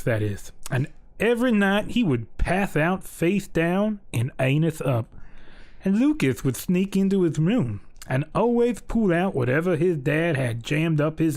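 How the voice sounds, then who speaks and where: Casting: male actor as jolly, crude Southern bedtime storyteller, higher-than-average pitch, rambling homespun delivery. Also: a little mellow. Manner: folksy, ungrammatical, rambling asides. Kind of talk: mock children's bedtime story narration.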